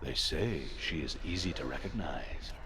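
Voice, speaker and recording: An actor playing a grave storyteller in a video game, reciting dark myths in a solemn, measured way. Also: male voice, extremely low pitch, lively intonation, vocal fry, background noise, full character voice. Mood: solemn, ominous. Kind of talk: scripted mythic storytelling in a game.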